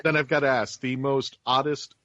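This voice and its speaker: A man hosts a casual interview in a voice of middling pitch. The sound is close and dry, a little dull and lo-fi. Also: flat delivery, moderate pace, bright, forceful tone, no room sound. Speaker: web show host.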